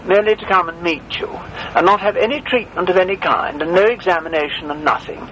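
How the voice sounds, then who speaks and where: High-voiced guy on the phone, stiff and old-fashioned, very proper wording. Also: brisk, sharp tone, a little hiss or hum, rough recording. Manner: formal, old-fashioned and stern, precise wording. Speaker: dental patient on a phone call. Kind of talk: phone conversation.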